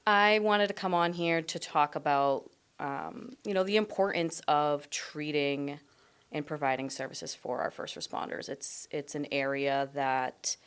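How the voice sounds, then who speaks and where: A low-pitched woman in her thirties, a native Portuguese speaker, talks as a guest on a podcast. She has a relaxed, friendly way of speaking and fills her pauses with "um" and "you know.". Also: clear, resonant tone. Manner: relaxed, friendly, with frequent fillers. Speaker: clinician guest. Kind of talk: casual podcast interview.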